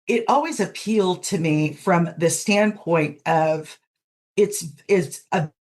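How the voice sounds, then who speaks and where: A middle-aged woman with a low-pitched voice talks as a guest in a relaxed interview. She explains things reflectively, in plain conversational language. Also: slow pace. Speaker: guest podfic narrator. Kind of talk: casual interview chat.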